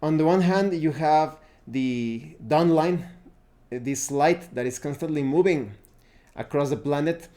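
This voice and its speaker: A man's voice: on the high side, measured pace, mellow. A man speaking as a review host, explaining and recommending in a casual, unscripted way with hesitations and self-corrections. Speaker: tabletop game review host.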